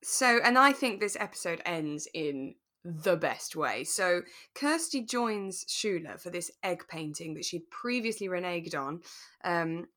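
A British woman co-hosting a podcast in relaxed, casual chat, with an animated, emphatic, giggly way of talking. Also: fairly low pitch, unhurried. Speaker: podcast co-host. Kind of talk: relaxed casual chat about a radio drama.

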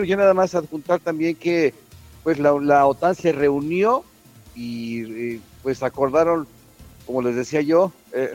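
A man co-hosting a radio news segment, commenting informally in a halting, courteous manner. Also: a little high.

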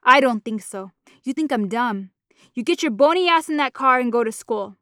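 A woman voicing a big, domineering mother who yells and curses at her daughter in a storybook reading. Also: a little high, moderate pace, no echo, clean studio sound. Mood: angry, scolding. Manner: yelling, cursing, scornful. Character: harsh foul-mouthed mother, big, furious, domineering.

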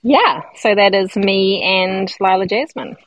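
A woman in her thirties, a midwife and guest on a podcast, talking in a relaxed, thoughtful way with many ums and likes. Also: medium pitch, measured pace, no echo, poor audio. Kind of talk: casual interview chat.

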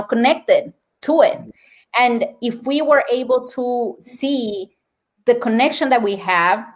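A woman with a Colombian accent, a nurse and wellness speaker, explaining her ideas earnestly in a podcast interview. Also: a little high. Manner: earnest, explanatory, lists points.

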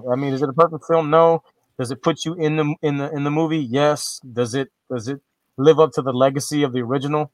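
A man's voice: a little high, fairly even intonation, fast talker, no echo, poor audio. A man co-hosting a casual podcast, rambling through a horror movie review with frequent restarts and casual swearing. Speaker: podcast co-host.

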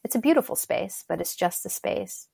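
A woman's voice: mid-range voice, lively intonation, moderate pace, gravelly, darkish tone, husky. A woman from Turkey, a guest on an interview show, speaking in a reflective and encouraging way. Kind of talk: casual interview talk.

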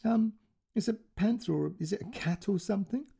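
A man's voice: high-pitched, moderate pace, vocal fry, warm tone, slightly rough, voice pushed up, exaggerated voice. A man playing a polite, chatty young policeman in a story reading, eager and curious with an apologetic, hesitant manner.

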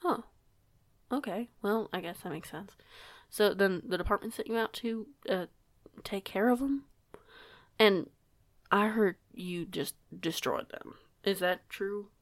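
A woman's voice: mid-range voice, unhurried, lightly acted. A young woman playing an eager, awkward werewolf newcomer in an audio roleplay, talking in a hesitant, rambling, informal way. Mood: hesitant, curious. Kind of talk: audio roleplay acting, casual dialogue.

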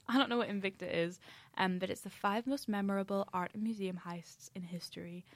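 Young woman with a soft Scottish accent. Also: medium pitch, steady tone, a little fast, no echo. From Scotland. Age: young adult. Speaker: podcast co-host. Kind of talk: casual chat.

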